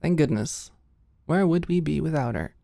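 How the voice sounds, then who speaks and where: A woman's voice: low voice, lively intonation, moderate pace, creaky, mellow, dry recording, clean studio sound. A woman playing a flustered, lovestruck office ex-employee, hesitant and rambling, in a scripted ASMR audio roleplay. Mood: flustered, eager.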